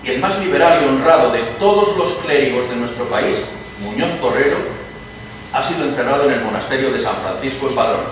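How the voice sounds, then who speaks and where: A man's voice: mid-range voice, a little fast, lightly acted. Guy acting old-time spanish liberal politician, declamatory n ironic, roomy distant noisy recording. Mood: grave, bitter. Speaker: actor in a dramatized reading. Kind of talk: theatrical radio drama dialogue. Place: live reading in an echoing venue. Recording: distant, echoey hall with clear noise.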